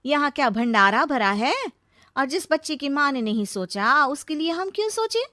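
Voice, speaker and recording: A woman voicing a sharp-tongued, resentful daughter-in-law, sarcastic and scolding, in a family story. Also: sits high, animated, moderate pace, no echo, studio quality, voice pushed up. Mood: indignant sarcasm.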